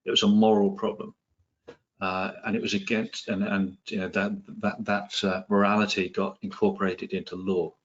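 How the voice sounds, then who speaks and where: English guy, a bit kiwi, lowish voice, lots of ums, muffled webinar audio. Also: flat delivery, normal speed. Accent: English, with some New Zealand influence. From England. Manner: hesitant, many ums and restarts, dry humor. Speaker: psychiatrist and presenter. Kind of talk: online webinar talk. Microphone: video call.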